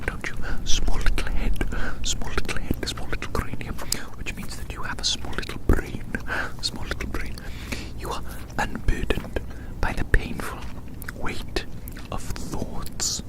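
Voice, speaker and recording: Casting: ASMR whisperer, breathy and unvoiced, close-miked outdoors, whimsical rambling musings with soothing repetition. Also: low-quality recording. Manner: repeats phrases slowly and soothingly. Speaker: ASMR performer. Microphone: close microphone.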